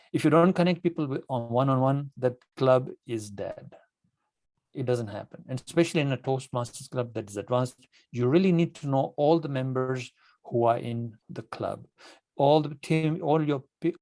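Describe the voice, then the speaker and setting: A man from Kenya, a native Swahili speaker, addressing club members informally in a halting, repetitive, emphatic manner. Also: mid-range voice, darkish tone, dry recording. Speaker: club leader addressing members at an online meeting. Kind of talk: informal motivational meeting talk.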